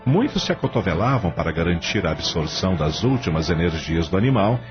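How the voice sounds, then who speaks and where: A man's voice: sits low, big pitch swings, fairly quick, lo-fi sound. A Brazilian man narrating a radio novel in a dramatic scripted style. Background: music.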